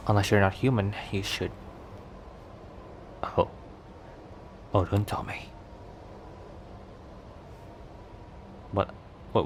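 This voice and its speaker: A man playing a cocky, protective young werewolf stranger in an intimate ASMR roleplay, talking in a teasing, halting conversational way. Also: lowish voice, slight background noise. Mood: sly, teasing. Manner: teasing, breathy sighs and chuckles, halting conversational delivery. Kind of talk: ASMR roleplay acting, intimate one-sided dialogue.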